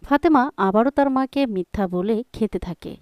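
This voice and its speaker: A woman's voice: mid-range voice, animated, moderate pace, darkish tone, dry recording. A woman narrating a scripted children's cartoon story.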